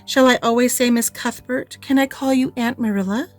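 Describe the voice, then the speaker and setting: A woman's voice: a little high, steady tone, normal speed, faint music behind, voice pushed up, lightly acted. A woman voicing an eager, imaginative and talkative orphan girl, pleading and effusive, in an audiobook reading.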